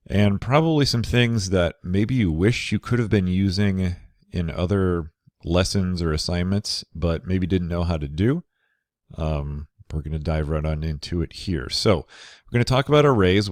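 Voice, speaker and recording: Low-voiced man lecturing with a cold. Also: no echo, clean studio sound. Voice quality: slightly crackly. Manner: relaxed, conversational, many fillers. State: nasal from a cold. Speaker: programming instructor. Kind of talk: recorded lecture over slides.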